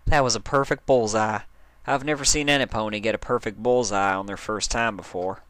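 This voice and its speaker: A man's voice: on the low side, fairly even intonation, fairly quick, a bit bright, dry recording, natural voice. A man voicing an easygoing, modest female country pony from a cartoon, with folksy manners. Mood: easygoing, encouraging.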